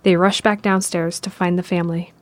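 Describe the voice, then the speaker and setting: Woman narrator, lower register, for scripted audio drama storytelling. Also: level tone, fairly quick, faint music behind.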